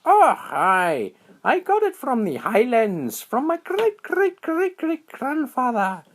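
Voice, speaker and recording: A man voicing a small, excitable, timid squirrel in a children's bedtime story, with a squeaky, shrill, exclamatory delivery. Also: slow pace, full character voice. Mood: excited, friendly. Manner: exclamatory, repeats a greeting catchphrase.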